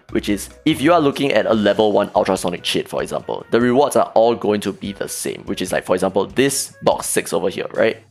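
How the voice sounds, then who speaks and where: Young male gaming host, hoarse and gravelly, casual walkthrough tone, faint music bed. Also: lowish voice. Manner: talks through a spreadsheet, pointing out numbers. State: hoarse, losing his voice. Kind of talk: casual game strategy walkthrough.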